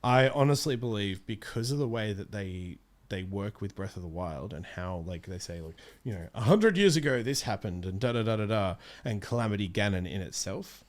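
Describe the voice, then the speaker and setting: A man's voice: fairly low pitch, expressive, normal speed, few pauses. A man chatting casually about video games as an interview guest, often circling back and correcting himself as he explains. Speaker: interview guest, amateur voice actor. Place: small indoor streaming room. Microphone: close studio microphone.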